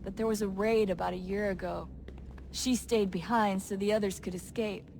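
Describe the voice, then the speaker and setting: A woman's voice: mid-range voice, steady tone, moderate pace, creaky, a little mellow, a little hiss or hum, natural voice, rough recording. An actress playing an earnest, troubled young woman in a modern covert team, speaking hesitantly and sincerely in a video game. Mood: sad, bitter. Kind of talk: naturalistic video game acting.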